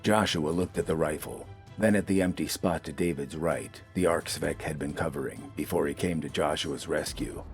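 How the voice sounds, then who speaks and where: A man's voice: rumbling low, normal speed, few pauses, faint music behind. A man narrating scripted fiction in a dramatic storytelling style.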